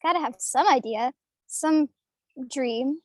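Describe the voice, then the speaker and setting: A woman's voice: sits high, slow, darkish tone, dry recording, clean studio sound. A woman reading the part of a confident, ambitious, slightly boastful young girl at a script table read, brash and challenging. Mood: eager, probing.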